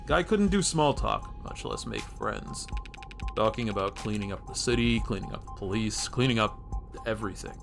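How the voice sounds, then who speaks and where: Man voicing a low-key, world-weary noir cop. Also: sing-song, normal speed, vocal fry, over music. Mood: wry, explanatory. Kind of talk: reading noir game dialogue aloud.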